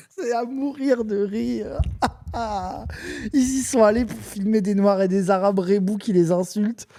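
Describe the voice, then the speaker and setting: Brief: man, mocking stream commentator, mellow tone, dry close mic. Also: high voice, fairly even intonation, slow, no echo. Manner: mocking, laughing, sarcastic asides. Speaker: streamer reacting to a video. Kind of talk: live-stream reaction commentary.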